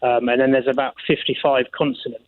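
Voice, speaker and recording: A man's voice: mid-range voice, flat delivery, a little hiss or hum. Muffled, hesitant British man phoning in. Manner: hesitant, earnest, pausing to search for words. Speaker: phone-in caller. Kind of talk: informal explanation on a radio phone-in. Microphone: telephone. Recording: muffled dry phone line.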